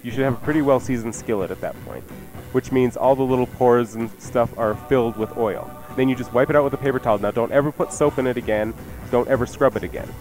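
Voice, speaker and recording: A man's voice: medium pitch, fast, a little mellow, soft background music. A man giving casual, emphatic cooking advice as a radio show's chef and host. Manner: emphatic, matter-of-fact instruction. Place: radio studio.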